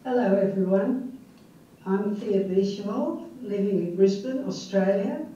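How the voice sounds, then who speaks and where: An older woman, a native Dutch speaker from the Netherlands, reading her own poem in a measured, unhurried way. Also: medium pitch, dark tone. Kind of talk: poetry reading. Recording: echoey, distant, dull.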